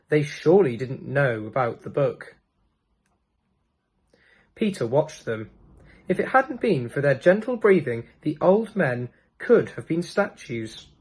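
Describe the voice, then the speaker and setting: A man's voice: a little high, sing-song, slow pace, a little mellow, slightly rough. A man reading a children's storybook as scripted narration. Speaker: storybook reader.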